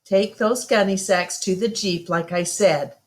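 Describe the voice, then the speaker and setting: A woman's voice: mid-range voice, unhurried, lightly acted. Woman reading as a firm, polite country dad. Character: country father, firm but polite and worried.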